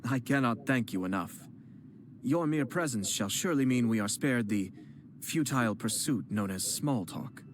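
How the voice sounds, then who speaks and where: A man voicing a composed young noble clan head who speaks formally and in a measured way, with gentle teasing. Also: medium pitch, animated, a little hiss or hum, close to an everyday voice. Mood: courteous calm. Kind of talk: game voice acting. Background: game music.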